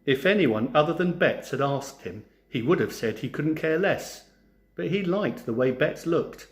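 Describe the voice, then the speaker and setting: A man narrating a storybook for children in an expressive, theatrical way, like a teacher. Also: on the high side, unhurried. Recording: roomy, echoing sound.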